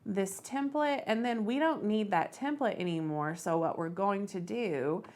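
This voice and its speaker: A woman hosting a casual step-by-step craft software tutorial, thinking aloud as she works. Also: mid-range voice, unhurried. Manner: thinks aloud while working.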